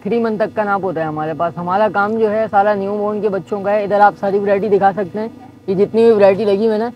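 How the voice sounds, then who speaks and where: A man, a shop owner calmly showing baby clothes in his market shop and quoting prices item by item. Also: sits high, fast, dull audio, a little hiss or hum. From Pakistan. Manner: patient, listing items one by one. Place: busy market shop indoors.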